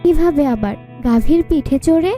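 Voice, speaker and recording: A woman's voice: high voice, animated, normal speed, warm tone, faint music behind. A woman voicing an eager, greedy farmer's wife, coaxing and questioning, in a cartoon folk tale. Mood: curious. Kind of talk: Bengali cartoon fairy-tale dialogue.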